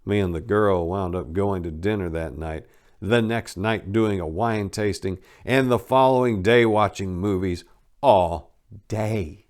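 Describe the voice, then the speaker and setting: A man's voice: sits low, a little slow, darkish tone. A man giving a dramatic reading in a conversational, storytelling way with emphasis on key words. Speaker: host narrating a dramatic book reading. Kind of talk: dramatic first-person audiobook reading.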